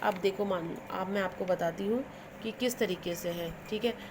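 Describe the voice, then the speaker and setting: A woman's voice: mid-range voice, moderate pace, background noise. A woman with an Indian accent teaching English to school students, explaining instructively and switching between Hindi and English phrases as she repeats and translates.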